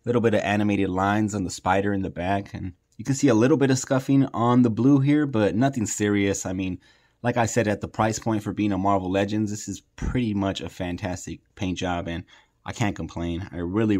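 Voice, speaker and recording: A man's voice: on the low side, fairly even intonation, fairly quick, a little mellow. A man hosting a casual toy review, speaking informally and enthusiastically with plenty of slang.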